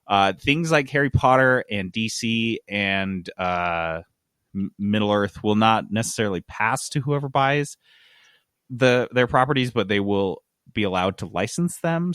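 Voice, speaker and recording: A man's voice: lowish voice, lively intonation, moderate pace, a bit bright, no echo, clean studio sound. An American man hosting a casual gaming news chat, sardonic and prone to sweary outbursts. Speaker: podcast host.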